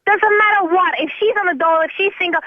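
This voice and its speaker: A woman's voice: extremely high pitch, quick pace, dry recording. A woman caller who is not a native English speaker, indignantly challenging another caller. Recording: dull phone line.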